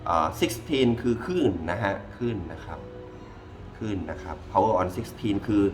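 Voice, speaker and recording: A man's voice: on the low side, sing-song, unhurried, faint music behind. A man giving a casual hands-on gadget review, informal and repeating himself as he demonstrates step by step. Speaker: product reviewer. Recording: clearly noisy ambient background.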